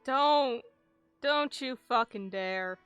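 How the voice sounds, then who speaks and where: A woman's voice: on the high side, drawn-out words, fairly bright tone, clear, resonant tone, no echo, muffled recording, soft background music. A woman game streamer reacting casually and expressively in an informal live commentary.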